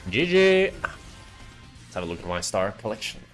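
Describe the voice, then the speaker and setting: A young Norwegian streamer talks over his own gameplay, joking with chat and cursing at close fights. His voice is low but swings widely in pitch. Faint music plays under him. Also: very animated, normal speed. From Norway. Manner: joking, swears and shouts at game moments. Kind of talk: live gameplay commentary and chat banter.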